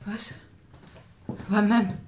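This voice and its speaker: A woman's voice: drawn-out words, a little mellow, a little hiss or hum. An actress playing a guarded middle-aged mother in a radio drama, motherly but uneasy, answering briefly. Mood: startled, uneasy. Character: guarded mother of a grown daughter. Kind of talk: German radio drama acting.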